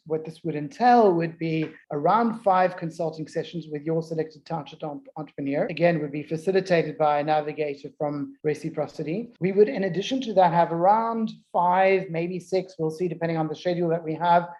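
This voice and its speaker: A man's voice: on the high side, a little mellow. South African man on a muffled video call. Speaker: partner organisation co-founder. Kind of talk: presenting over video call. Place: office.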